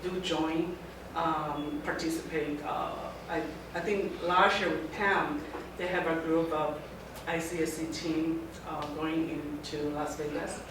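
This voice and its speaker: A middle-aged woman from Taiwan giving an informal talk to a student group, speaking in halting phrases that often restart. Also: low-pitched, flat delivery. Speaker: guest speaker. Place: lecture room. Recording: very echoey room, mic far off, background noise.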